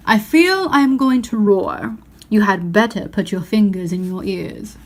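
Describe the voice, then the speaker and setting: A woman's voice: mid-range voice, measured pace, close to an everyday voice. Woman reading as noble great lion, grave, commanding, storybook character voice. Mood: solemn, commanding.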